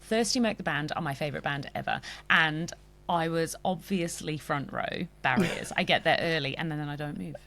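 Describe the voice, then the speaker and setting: Croaky-voiced chatty Australian woman host. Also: deep voice, moderate pace, a bit bright. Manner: bubbly, chatty, breaks into song. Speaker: podcast host. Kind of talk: casual chat.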